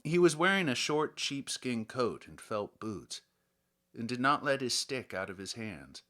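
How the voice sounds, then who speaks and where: A man narrating a literary story as an audiobook reader, with an expressive storytelling delivery. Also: medium pitch, measured pace.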